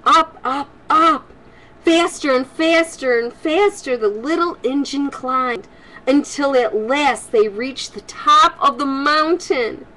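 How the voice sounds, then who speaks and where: Slow-talking older woman with a highish voice. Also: pushed and bright, low-quality recording. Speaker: grandmother reading stories for children. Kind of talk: expressive storybook reading and homely chat to children. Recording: home recording with faint background noise.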